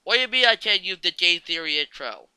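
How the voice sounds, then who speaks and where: A man's voice: high voice, animated, quick pace, background noise. A man hosting an online video, giving casual fan-theory commentary in a jokey, sweary way.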